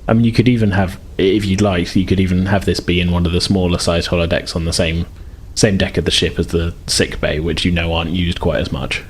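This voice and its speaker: Male game master, deep voice, conversational improvised narration, some background noise. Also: level tone, brisk, few pauses. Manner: hesitant, conversational phrasing.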